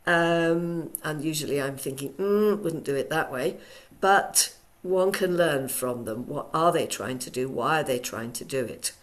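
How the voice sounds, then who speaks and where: Croaky, low-voiced woman teaching online. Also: unhurried, few pauses. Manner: chatty with frequent ums. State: hoarse from a cold. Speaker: Alexander Technique teacher. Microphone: video call.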